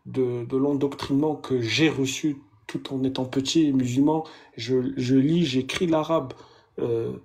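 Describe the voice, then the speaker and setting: Un homme d'une trentaine d'années, invité, qui parle de sa foi d'une voix hésitante, en cherchant ses mots et en répétant certains d'entre eux. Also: male voice, medium pitch, fairly even intonation, a little mellow, breathy edge. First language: Arabic. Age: 30s. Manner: hesitant, halting, repeats words. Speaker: guest. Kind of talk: emotional conversation about faith.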